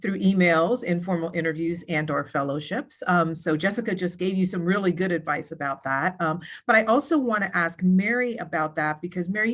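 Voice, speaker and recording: A woman moderating a panel discussion, politely passing along audience questions. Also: lowish voice.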